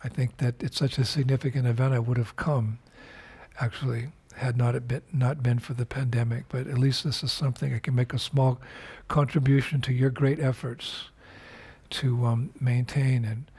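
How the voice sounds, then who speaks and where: Husky-voiced older man giving a reflective message. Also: mid-range voice, flat delivery, a little mellow. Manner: unhurried, reflective, with frequent fillers and restarts. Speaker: spiritual teacher. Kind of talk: recorded video message.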